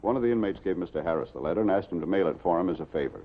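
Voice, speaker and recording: Gruff low-voiced American police captain. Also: male voice, expressive, rapid-fire. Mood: matter-of-fact. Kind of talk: 1950s television drama acting. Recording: dull, muffled 1950s television soundtrack.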